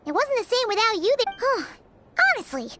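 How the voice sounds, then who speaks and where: A woman voicing a tiny, chirpy female fairy companion who fusses and chatters excitably in video game dialogue. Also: very high-pitched, normal speed, music in the background. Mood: caring, chatty. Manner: bubbly, fussing, excitable.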